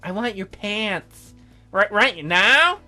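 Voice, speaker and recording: A man plays a nerdy teenage girl from a visual novel, bold and cheeky. The voice is high and bright, jumping about in pitch over faint music. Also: expressive, takes it slowly, exaggerated voice. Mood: cheeky, pushy.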